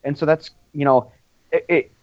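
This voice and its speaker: Higher-voiced man chatting, slightly dull audio. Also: steady tone, normal speed. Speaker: podcast co-host. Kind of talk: casual chat, personal anecdotes.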